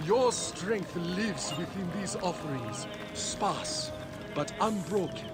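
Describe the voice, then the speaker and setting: Fervent cult leader, fairly high voice, solemn, over loud music. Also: male voice, animated, takes it slowly. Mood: chanting. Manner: chanting hymns, solemn and fervent. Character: devout male sun-cult leader, fervent. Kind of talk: video game voice acting. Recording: game audio with loud score.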